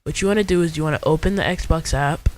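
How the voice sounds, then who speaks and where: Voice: medium pitch, fast talker. A young adult host giving an informal, step-by-step screen tutorial in a casual way. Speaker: video host.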